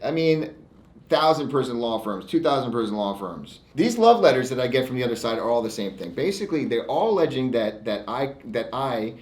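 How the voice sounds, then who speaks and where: A man's voice: mid-range voice, big pitch swings, brisk, a little mellow. Emphatic American man in an echoey room. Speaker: lawyer hosting his own online segment. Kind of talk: informal monologue to camera, reading out e-mails.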